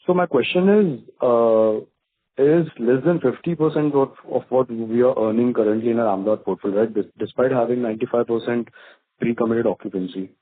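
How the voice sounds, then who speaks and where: A man, an analyst working through revenue figures and confirming each step. His voice sits at a middle pitch. It is heard over a tinny phone line. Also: brisk. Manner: probing, checks each figure with "right?". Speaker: analyst asking questions. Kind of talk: earnings call questions.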